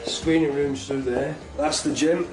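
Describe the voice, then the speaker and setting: A man's voice: fairly high pitch, fairly even intonation, measured pace, music in the background, natural voice, low-quality recording. An actor playing a cool, teasing younger British man in a radio drama, with dry banter. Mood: casual, proud.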